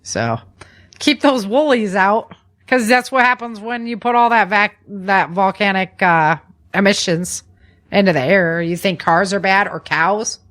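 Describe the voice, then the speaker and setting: A woman hosting a podcast, chatting casually to listeners in an animated, folksy way with informal asides. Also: medium pitch. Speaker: podcast host. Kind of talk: casual news chat to listeners.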